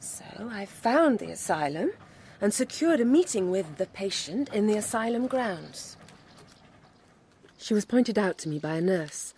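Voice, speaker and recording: An actress playing a devoted Victorian gentlewoman with an English accent, telling her story in an audio drama with composed, dramatic delivery. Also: mid-range voice, very animated, measured pace, slight background noise. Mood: grave, composed. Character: intelligent, devoted Victorian gentlewoman recounting events.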